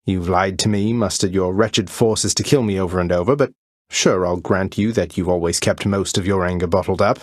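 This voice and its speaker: Low, husky, wry young rebel prince. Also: male voice, normal speed, dry recording. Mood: angry, defiant. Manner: dry sardonic understatement, formal turns of phrase. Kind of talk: video game voice acting.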